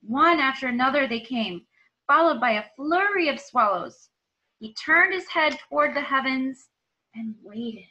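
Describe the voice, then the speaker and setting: A woman in her thirties, a teacher, reads aloud to children in an expressive, deliberate storybook style, recorded at home on a nearby device. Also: high voice, lo-fi sound. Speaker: teacher reading aloud to pupils. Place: small room at home.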